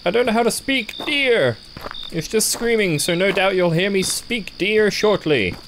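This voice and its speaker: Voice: medium pitch, expressive, measured pace, a bit bright, slight background noise, low-quality recording. A jumpy, sarcastic gaming YouTuber commentating on a horror game playthrough and reading on-screen text aloud. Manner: jumpy, sarcastic, gasps and cries out when startled.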